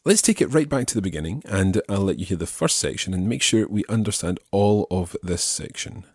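A man teaching French, patiently explaining and repeating phrases slowly. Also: low voice, animated, a little mellow, slightly rough, no room sound, clean studio sound. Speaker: language teacher.

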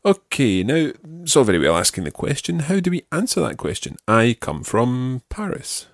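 A man's voice: medium pitch, wildly swooping pitch, normal speed, dry recording, clean studio sound. A man teaching a language lesson, patiently prompting and carefully repeating phrases. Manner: patient, instructive, carefully enunciated.

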